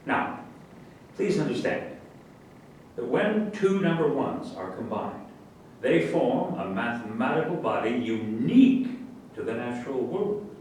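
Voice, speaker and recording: A man's voice: big pitch swings, measured pace, pauses often, a little mellow. A man in a staged reading playing a pompous, indignant general who lectures emphatically. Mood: pompous, lecturing. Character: pompous, indignant male general lecturing pseudo-scientific nonsense. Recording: distant echoey room with faint rumble.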